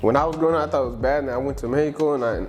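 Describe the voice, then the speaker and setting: A man hosting a casual interview podcast, talking in relaxed slang and often agreeing with the guest. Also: medium pitch, faint music behind. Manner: relaxed, slangy, quick back-channel agreement. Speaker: podcast host.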